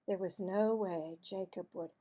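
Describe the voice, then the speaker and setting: A woman narrating a children's storybook aloud in an expressive, deliberate manner. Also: lowish voice. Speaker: teacher-style read-aloud narrator.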